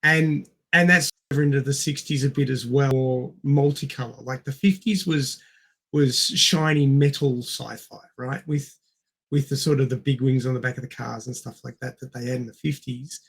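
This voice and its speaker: A man's voice: mid-range voice, moderate pace, husky. A middle-aged man co-hosting a podcast, casually discussing film in an explanatory way and repeating words for emphasis. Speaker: podcast co-host.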